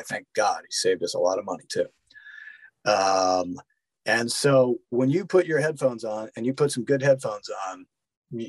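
A man with a fairly low voice talks casually as an interview guest, in the role of actor and director. The sound is dry, with hardly any room to it. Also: slightly rough, dry recording, low-quality recording.

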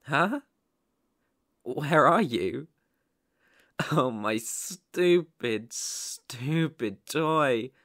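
A man playing a sadistic, obsessive young kidnapper in an ASMR roleplay, gloating with menacing, mocking, drawn-out phrasing. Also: medium pitch, animated, a bit bright, no room sound, studio quality, full character voice.

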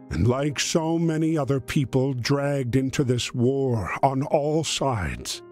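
An actor playing a solemn narrator in a war video game, delivering a scripted tribute deliberately. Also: male voice, mid-range voice, darkish tone, close to an everyday voice. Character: solemn male narrator honoring the war's fallen. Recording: music under the voice.

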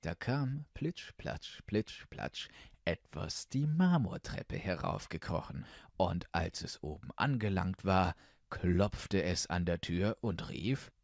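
A man's voice: low-pitched, very animated, slow pace, gravelly, dry recording, studio quality. A man narrating a fairy tale as an audiobook, reading expressively from a script.

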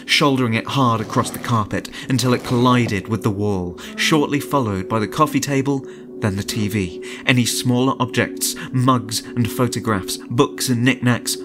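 A man narrating a horror story in a dramatic, suspenseful and deliberate audiobook style. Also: medium pitch. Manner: suspenseful, deliberate, theatrical delivery. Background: music.

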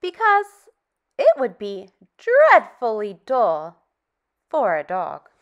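A woman reads aloud to young children in a high voice. Her pitch swings widely in a sing-song, exaggerated way. She goes slowly and enunciates each phrase for preschool listeners. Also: huge pitch swings, dull audio. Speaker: storytime host for young children.

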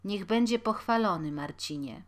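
A woman's voice: medium pitch, animated, moderate pace, natural voice. A woman voicing an elderly male landlord who mutters and grumbles indignantly.

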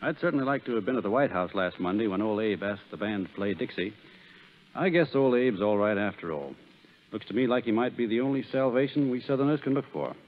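An actor playing a kindly, patient Southern country doctor in 1930s radio drama, speaking plainly and unhurriedly. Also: male voice, fairly low pitch, brisk, lightly acted. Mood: calm, patient. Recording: muffled, phone-like 1930s radio recording.

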